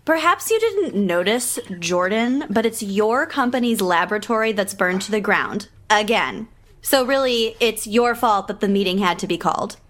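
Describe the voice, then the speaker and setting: A woman's voice: medium pitch, expressive, moderate pace, fairly bright tone, lightly acted. A woman playing a haughty, irritated councilwoman with condescending, pointed politeness in an audio drama. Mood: accusing.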